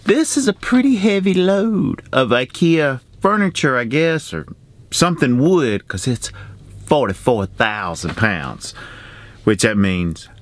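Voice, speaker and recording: A man's voice: big pitch swings, takes it slowly. A man in his forties chatting casually to camera as a trucker, upbeat and conversational, recorded at arm's length inside a truck cab. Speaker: trucker and vlog host. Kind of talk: casual vlog chat to camera. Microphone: handheld camera at arm's length. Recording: vehicle rumble and ambient noise.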